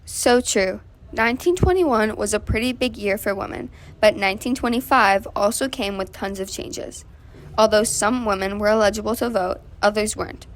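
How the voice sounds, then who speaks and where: Woman playing an earnest 1920s suffragist guest, mid-pitched. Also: normal speed, natural voice. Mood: earnest, pleased. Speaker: guest activist. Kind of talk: scripted amateur 1920s radio interview.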